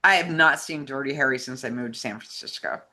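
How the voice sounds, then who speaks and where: Bright-voiced American woman co-host. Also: rumbling low, fast. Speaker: co-host reading fan questions. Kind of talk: casual chat, reading out questions.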